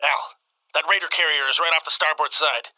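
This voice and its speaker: A man's voice: on the high side, steady tone, fairly quick, pushed and bright. An actor playing a loyal, easygoing starship crewman in an audio drama.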